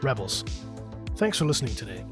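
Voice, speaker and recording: A man's voice: mid-range voice, sing-song, brisk, loud music behind the voice. Easygoing male podcast host. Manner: easygoing, giggly. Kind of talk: casual podcast chat and scripted outro.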